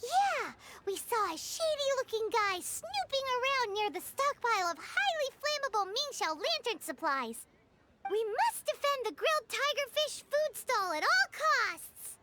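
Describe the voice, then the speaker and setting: A woman voicing a small, bossy, excitable fairy companion with a squeaky childlike voice in a video game. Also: unhurried, heavy background noise, heavily acted. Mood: indignant, excited. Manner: speaks of herself in the third person, exclaiming. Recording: loud game music behind the voice.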